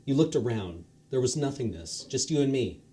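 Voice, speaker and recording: A man reading a short story aloud on a charity stream, expressive with the odd stumble. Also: mid-range voice, moderate pace.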